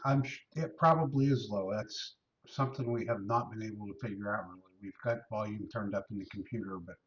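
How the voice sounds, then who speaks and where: A man's voice: lowish voice, fairly quick. A man co-hosting a whiskey tasting livestream, teasing his co-host and answering the chat. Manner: teasing, joking. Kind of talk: casual banter with chat and co-host. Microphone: overhead microphone above the table. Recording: distant, dull overhead mic.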